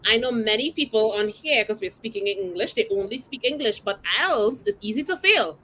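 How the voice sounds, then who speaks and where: High-pitched, bubbly woman interviewer. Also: expressive, fairly quick, fairly bright tone, a little hiss or hum, low-quality recording. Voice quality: bubbly and enthusiastic. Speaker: interviewer, host. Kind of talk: lively interview questions.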